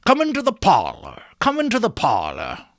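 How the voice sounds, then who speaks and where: A man's voice: high voice, huge pitch swings, moderate pace, fairly bright tone, dry recording, studio quality, voice pushed up, heavily acted. A man voicing a crafty, jovial old rag-shop dealer in a storybook reading, with a hearty, wheedling manner. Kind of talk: dramatic audiobook character voice.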